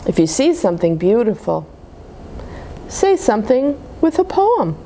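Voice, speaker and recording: A woman reading picture books aloud to young children in an expressive, explaining manner. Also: a little high, a little slow, slight background noise, rough recording. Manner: animated, simple explanations to children. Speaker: children's storybook reader at a church.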